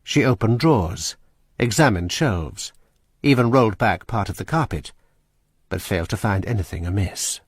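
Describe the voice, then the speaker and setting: A man narrating a radio drama in a measured, suspenseful way. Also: on the low side, lively intonation, breathy edge, dry recording, clean studio sound.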